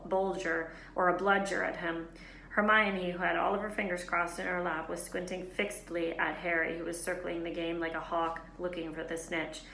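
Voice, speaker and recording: A woman teacher reading a children's novel aloud in a lively, expressive way, fixing small slips as she goes. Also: fairly low pitch, creaky. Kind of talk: storybook read-aloud narration. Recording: roomy echo, faint background hiss.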